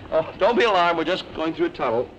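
A man's voice: on the high side, animated, fast talker, highs cut off, close to an everyday voice. An actor playing a cheerful young man who jokes his way through a mix-up on a sleeper train. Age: young adult. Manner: cheerful, joking. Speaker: train passenger. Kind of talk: 1950s television drama acting. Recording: hissy 1950s film soundtrack.

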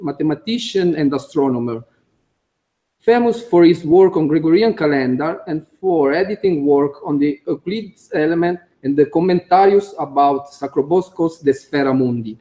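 A man with a strong Italian accent, a computer engineer giving a conference talk read from notes, careful and halting with frequent fillers. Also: on the high side, warm tone, rough recording. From Italy. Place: conference room.

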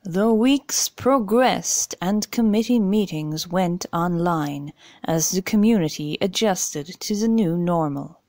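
A woman, playing the measured, deliberate narrator of a radio drama. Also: lowish voice, darkish tone, dry recording, studio quality.

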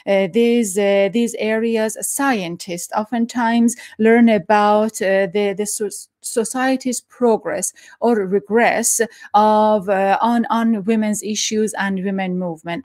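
A middle-aged woman hosting a video interview from a home study, speaking haltingly with many fillers and restarts. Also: medium pitch, a little mellow, no echo, clean studio sound. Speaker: host. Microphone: headphones and desk mic.

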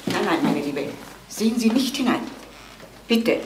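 A woman's voice: medium pitch, lively intonation, unhurried, lightly acted. An actress playing a fussy, well-meaning neighbour woman in a radio crime drama. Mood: shocked. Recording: echoey, distant, faintly noisy radio drama.

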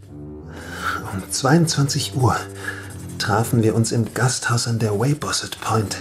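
A man's voice: lowish voice, a bit bright, full character voice. An actor playing an uneasy workingman in a horror audio drama, speaking in a tense, dramatic way. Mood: grave. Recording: noticeable background noise.

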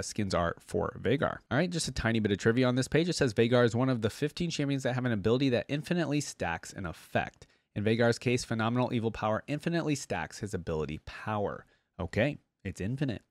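A man's voice: mid-range voice, dry recording. A young adult man giving casual reaction commentary, chatty and informal, thinking aloud as he hosts. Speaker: reaction video host. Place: indoor room at a desk. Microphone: close desk microphone on boom arm.

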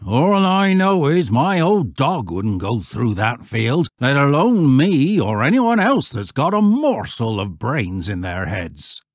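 A man's voice: mid-range voice, very animated, slow, hardly any pauses, no room sound, clean studio sound, voice pushed up. Man voicing an old rural English shepherd, swooping intonation. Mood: grave. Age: older. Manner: emphatic, folksy dialect. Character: old male country shepherd, grave, cautionary, plain-spoken.

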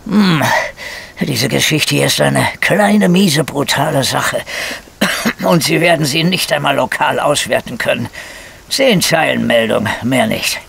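Gruff, creaky-voiced detective with a cold. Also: male voice, fairly high pitch, unhurried, a bit bright, exaggerated voice. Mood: cynical. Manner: gruff, blunt, colloquial. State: coughing with a cold. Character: grumpy, cynical small round middle-aged police detective with flu. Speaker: actor. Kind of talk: radio crime drama acting.

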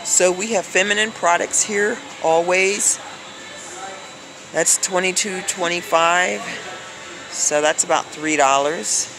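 A woman's voice: on the low side, takes it slowly. A middle-aged American woman chatting casually and thinking aloud as she records a shopping vlog on a handheld phone. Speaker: vlogger. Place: supermarket aisles with humming coolers. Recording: noisy background hum, handheld phone.

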